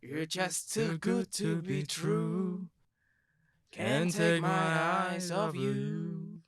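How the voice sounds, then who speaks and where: A woman's voice: on the low side, fairly even intonation, a loud crowd. A woman voicing a long-lost brother's ghostly voice in an audio drama, mockingly playful and half-singing. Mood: creepy playful. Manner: taunting, slow, half-singing.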